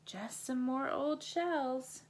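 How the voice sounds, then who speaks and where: A woman's voice: high voice, very slow, slight background noise, pitched above the performer's own voice. A woman voicing a patient, easygoing father bear in a storybook, explaining things without hurry.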